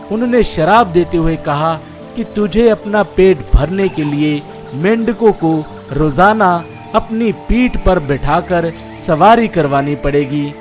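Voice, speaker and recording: Sly, slow-talking old snake. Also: male voice, fairly high pitch. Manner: slow, deferential, wheedling. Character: sly old male snake feigning humble sadness. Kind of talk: animated Hindi moral story dialogue. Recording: music behind the voice.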